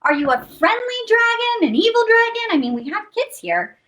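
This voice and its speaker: A woman hosts storytime for young children in an extremely high voice. Her delivery is animated and swooping, playful and full of exclamations aimed directly at the kids. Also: moderate pace.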